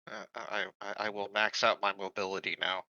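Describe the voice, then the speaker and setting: A man chats and jokes at a tabletop game, repeating words as he starts sentences. His voice is strikingly sharp and bright, dry and slightly muffled by lo-fi audio. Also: on the low side, flat delivery, normal speed, dry recording. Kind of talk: casual joking chat.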